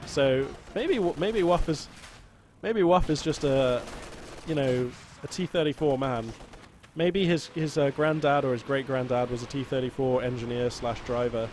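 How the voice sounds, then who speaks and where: A man's voice: medium pitch, normal speed. A British man giving rambling, jokey live commentary on a strategy game. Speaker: game caster. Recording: loud game battle sounds behind. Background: gunfire, artillery and vehicle noise from the game.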